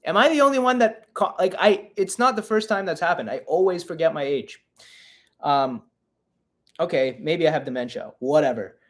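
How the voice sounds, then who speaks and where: A young man in his twenties hosts a live Q&A stream in a loose, chatty way, often poking fun at himself. His voice sits a little high for a man. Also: lively intonation, moderate pace. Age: 20s. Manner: chatty and self-mocking, reading chat aloud. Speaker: podcast host.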